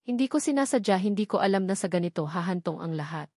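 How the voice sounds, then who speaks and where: A woman's voice: medium pitch, animated, fast talker, a little mellow, dry recording, clean studio sound. Woman doing a remorseful old farmer father. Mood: pleading. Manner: pleading, guilt-ridden.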